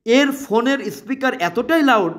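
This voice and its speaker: A man with a Bangladeshi accent presenting a product in an emphatic promotional sales pitch, repeating his selling phrases. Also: expressive, normal speed, a bit bright.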